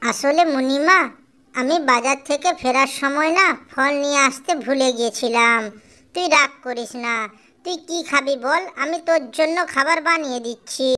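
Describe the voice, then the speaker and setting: High-pitched worried mother bird voiced by a woman. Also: moderate pace. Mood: coaxing. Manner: fretful, expressive. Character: worried mother songbird, female, caring but shrewd. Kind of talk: animated cartoon dialogue.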